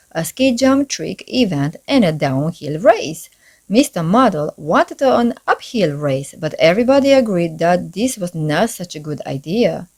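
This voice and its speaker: A woman reads a children's storybook aloud at a slow, measured pace. Her voice sits a little low, and her pitch swings widely as she shapes each phrase expressively. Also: darkish tone.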